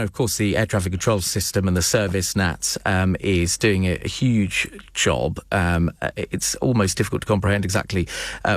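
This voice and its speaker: A man's voice: lowish voice, no room sound, studio quality. A British man presenting radio news and interviewing live, with hesitant, wordy questioning.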